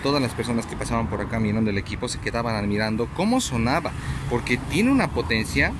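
A man with a mid-pitched voice hosts a casual gear review, talking straight to his viewers. He sounds enthusiastic and leans on his words for emphasis. He is recorded outdoors on a street, with clearly audible traffic and ambient noise. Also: lively intonation, quick pace. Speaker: host of an audio gear review channel. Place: outdoors on a street sidewalk by shops. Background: street ambience, passing cars.

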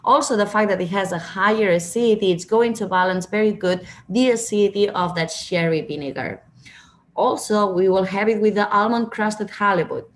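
A woman in her thirties speaks English with a Spanish accent at a middle pitch. She talks over a video call from a room at home, explaining things step by step and sometimes restarting a phrase. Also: moderate pace. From Catalonia, Spain. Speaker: winery representative. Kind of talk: wine presentation to diners.

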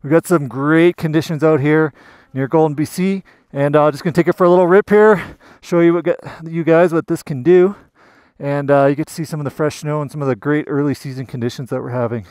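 A man from Canada giving casual, enthusiastic commentary while riding a snowmobile through deep snow, recorded on a helmet camera. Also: steady tone, fairly quick, a little mellow, dry recording, clean studio sound. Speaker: magazine editor reviewing a snowmobile. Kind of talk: casual ride-along commentary. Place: outdoors in deep snowy forest on a snowmobile. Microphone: helmet-mounted action camera. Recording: engine and wind noise.

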